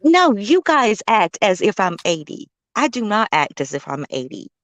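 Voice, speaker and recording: A woman's voice: medium pitch, sing-song, normal speed, dry recording. A middle-aged woman talking as a guest on a stream from a parked car, playful and teasing. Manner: playful, teasing, laughs easily. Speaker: guest, host's mother.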